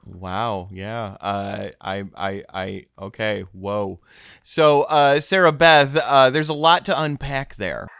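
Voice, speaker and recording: A man hosting a mock-documentary comedy interview podcast in an earnest, measured and halting way. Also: fairly low pitch, fairly even intonation, gravelly, fairly bright tone, clean tone, no echo, clean studio sound. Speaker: podcast host.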